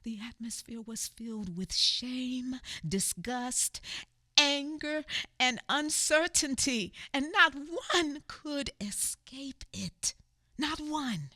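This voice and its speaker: A resolute woman remembering her childhood, played by an actress in a radio play. She speaks very slowly, with a breathy, husky voice. The sound is dry and close. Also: high-pitched, animated, pushed and bright, no echo, exaggerated voice. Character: grown woman recalling a hard childhood, resolute. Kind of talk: radio theater acting.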